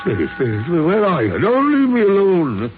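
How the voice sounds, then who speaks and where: An actor playing an anxious old miser in a theatrical, hesitant 1940s radio drama style. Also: male voice, sits high, wildly swooping pitch, normal speed. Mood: frightened, pleading. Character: old miserly man, anxious and pleading with spirits. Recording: muffled narrow-band 1940s radio recording, faint music.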